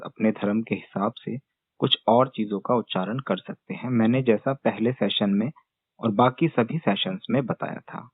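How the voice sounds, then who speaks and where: A man leading a guided meditation with gentle, unhurried step-by-step instructions and long pauses, as a teacher addressing a class. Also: fairly low pitch, no echo. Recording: dull phone-like narrow-band sound.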